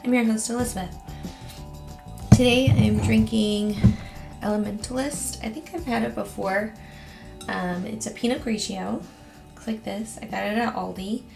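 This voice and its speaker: Hoarse, raspy woman chatting casually. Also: on the high side, fairly even intonation, normal speed, pauses often, music in the background. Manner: chatty, rambling, with frequent ums and soft laughs. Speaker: beauty vlogger host. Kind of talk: casual get-ready-with-me chat.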